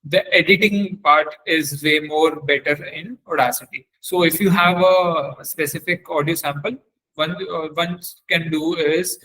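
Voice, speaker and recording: A man presenting a live software demonstration as a webinar trainer, speaking haltingly and often repeating or correcting himself. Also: on the high side, fairly even intonation, rough recording.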